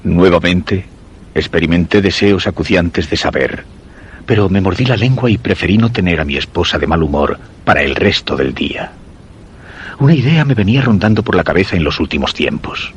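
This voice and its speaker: An actor playing an ailing, suspicious husband who narrates his macabre tale in a Spanish radio drama, measured and literary, with a Castilian accent. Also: low-pitched, animated, a little hiss or hum. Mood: brooding, uneasy. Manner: measured, literary, brooding delivery.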